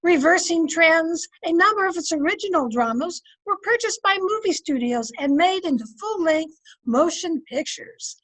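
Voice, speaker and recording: A woman's voice: extremely high pitch, lively intonation, normal speed, a bit bright, poor audio. A woman announcing and narrating an old-time radio drama from a script.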